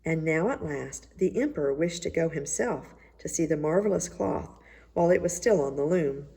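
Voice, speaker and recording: A woman narrates a fairy tale for listeners in an expressive read-aloud style. Her voice sits a little low and swings freely in pitch, with a slightly husky, creaky edge. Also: moderate pace. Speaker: storybook reader.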